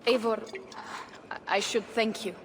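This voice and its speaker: A proud, blunt Viking shield-maiden acted by a woman for a video game, earnest and a little unsure of herself. The voice is slightly high. It rings with reverb over faint ambient noise. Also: fairly even intonation, a little slow, lightly acted. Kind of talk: dramatic video game acting.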